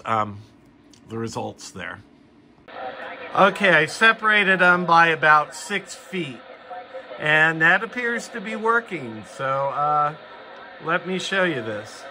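A man's voice: mid-range voice, bright, forceful tone, slight background noise. An American man giving casual how-to narration as a hobbyist video host, recorded in a room at home.

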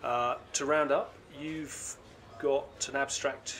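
A middle-aged man hosting a conference interview, asking brisk questions in a conversational way with an uneven pace. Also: mid-range voice, steady tone, fairly bright tone, slight background noise. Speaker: interviewer and panel host. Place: seated at a conference booth indoors. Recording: constant conference hall ambience.

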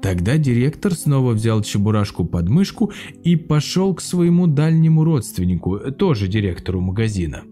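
A man, an actor, narrating a children's fairy tale in a lively, expressive way. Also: mid-range voice, moderate pace, few pauses, soft background music. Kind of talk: scripted storybook narration.

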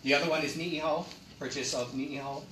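A man's voice: a little high, steady tone, brisk, a bit bright, slight background noise. A middle-aged man gives a classroom lecture, emphatic and asking his audience rhetorical questions. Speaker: lecturer on land history. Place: classroom with projector screen. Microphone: far camera. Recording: echoey room from far off.

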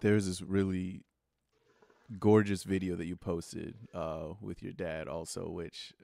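Deep-voiced guy in his 30s hosting, soft searching questions, dry close mic. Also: flat delivery, slow, vocal fry, no echo. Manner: soft, searching, short listening sounds. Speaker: host. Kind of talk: interview questions. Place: small room indoors. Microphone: studio mic on a video call.

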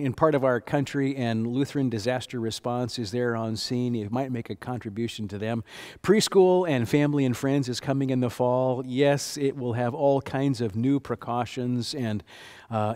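Older male pastor, mid-pitched, lively church announcer, sanctuary.

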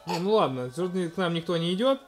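A man in his thirties casually commenting on a video game in a gentle, coaxing way, talking to a small child beside him at home. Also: high voice, lively intonation, quick pace, soft background music. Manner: gentle, coaxing, talks to the child, narrates what is on screen. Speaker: let's-play host playing with his toddler.

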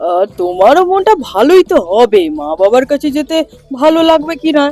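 A man's voice: extremely high pitch, sing-song, fairly quick, darkish tone. A man playing a gluttonous young son-in-law in a cartoon folk tale, coaxing and always chattering about food. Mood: coaxing, eager.

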